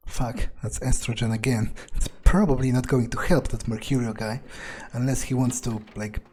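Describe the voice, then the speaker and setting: A man giving in-character gameplay commentary, thinking aloud and muttering to himself in a halting, musing way. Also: medium pitch, steady tone, a little mellow. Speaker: let's player role-playing his game character.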